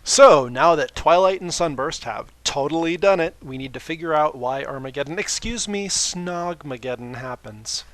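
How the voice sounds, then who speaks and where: Higher-voiced man reading a story, mock-dramatic. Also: moderate pace, few pauses, a bit bright, dry recording. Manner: mock-dramatic, breaks off with exasperated asides. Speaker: fan fiction reader.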